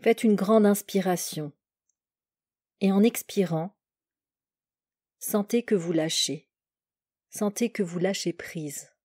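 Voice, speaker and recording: A woman leading a guided relaxation meditation as a yoga nidra instructor, giving calm, measured instructions in short, separated phrases. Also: mid-range voice, slightly rough, dry recording, clean studio sound.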